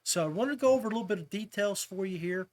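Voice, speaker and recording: A man hosting a podcast, talking casually and a little haltingly as he walks viewers through details. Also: sits high. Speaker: podcast host. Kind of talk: casual informational talk to viewers.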